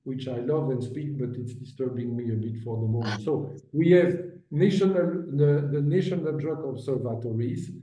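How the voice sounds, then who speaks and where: A man giving formal conference remarks as an agency director, halting with many fillers and restarts, speaking as an over remote call audio. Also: mid-range voice, mellow. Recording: dull roomy audio from a distance.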